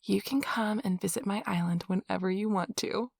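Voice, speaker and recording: A woman playing an affectionate, playful, nerdy young girlfriend in an intimate, soft-spoken ASMR role-play, with a breathy, whispery voice and a tender, teasing manner. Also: medium pitch, moderate pace, no room sound, clean studio sound.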